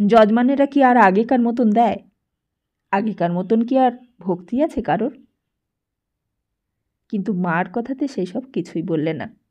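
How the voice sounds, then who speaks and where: Casting: female audiobook narrator, expressive literary storytelling, higher-pitched voice, slightly muffled lo-fi recording. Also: unhurried, long pauses.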